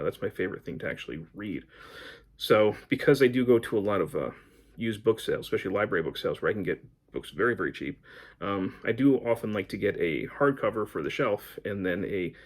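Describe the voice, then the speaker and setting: A middle-aged American man talks casually to his viewers about books. His voice sits on the low side with a husky edge. He rambles along in a conversational, unscripted way. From United States. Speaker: book vlogger. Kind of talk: casual chat to camera, answering book prompts.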